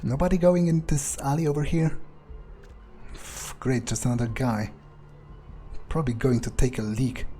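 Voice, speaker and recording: A man's voice: mid-range voice, steady tone, moderate pace, pauses often, warm tone, soft background music. A man doing in-character gameplay commentary, thinking aloud in a musing, muttering, crude way. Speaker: role-playing game streamer, speaking in character. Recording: faint noise and game ambience.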